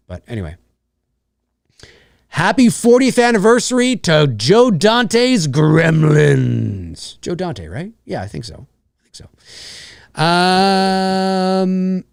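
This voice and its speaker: Brief: man, 40s, from Canada, live-stream host; lively, bouncing pitch, fairly high voice, dry close sound. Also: vocal fry, no room sound. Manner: excitable, rambling, reads donor messages aloud. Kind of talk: casual live-stream chat.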